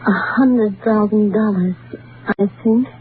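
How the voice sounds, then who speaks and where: Casting: woman to play submissive wife, slow and vacant, 1940s radio style, thin phone-like sound. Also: medium pitch, slight background noise, natural voice. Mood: meek, dazed. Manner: slow, meek, obedient. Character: submissive, dazed wife obeying her husband. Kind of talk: 1940s radio drama acting. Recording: tinny vintage radio recording, crowded background.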